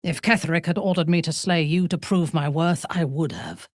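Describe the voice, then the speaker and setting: A woman's voice: sits low, no echo, clean studio sound. An actress playing a proud, ruthless exiled warrior woman in a video game, speaking formally with sardonic, imperious deliberation. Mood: solemn resolve. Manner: haughty, formal, deliberate phrasing. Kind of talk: video game character voice lines.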